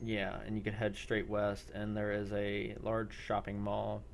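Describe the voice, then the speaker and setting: A man running an improvised role-play as game master, thinking aloud in halting phrases. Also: on the low side, monotone. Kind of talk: improvised narration of an online tabletop-style role-play. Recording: clear background noise.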